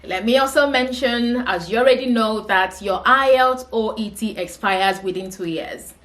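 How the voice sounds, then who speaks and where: A woman's voice: a little high, normal speed, a bit bright. Nigerian woman giving patient advice. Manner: patient, advising, checks in with "okay?". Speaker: coach for nurses. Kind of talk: explanatory vlog talk.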